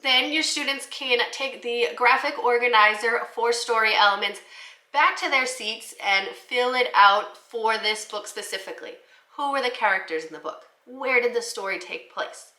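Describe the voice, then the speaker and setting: A woman teacher giving an instructional talk to fellow educators, explaining things clearly. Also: fairly high pitch, normal speed, sharp tone. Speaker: teacher presenting children's books for the classroom. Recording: roomy, echoey indoor sound.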